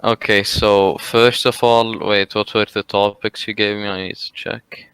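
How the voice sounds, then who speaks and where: Brief: lower-voiced man with a Lebanese accent, bright and very clean sound, halting debater. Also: little pitch movement, clear, resonant tone, no room sound, low-quality recording. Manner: halting, restarts with fillers. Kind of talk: online voice-call debate.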